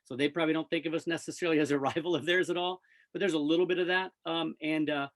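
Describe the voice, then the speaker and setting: A man's voice: on the high side, fairly even intonation, racing. An American man, a radio play-by-play announcer, chatting casually and upbeat as a guest in a sports interview.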